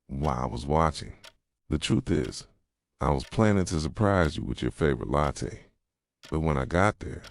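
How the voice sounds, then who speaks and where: A man playing a jealous, possessive yandere boyfriend in an intimate ASMR roleplay, speaking softly and tenderly and slipping into whispers. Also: creaky, no echo, full character voice. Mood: quietly suspicious. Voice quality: soft, low, slipping into whispers. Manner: tender, brooding, confessional.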